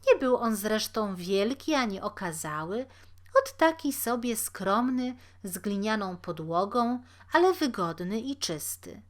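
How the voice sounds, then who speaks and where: A woman narrating a literary fairy tale aloud in an expressive, deliberate storytelling manner. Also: medium pitch.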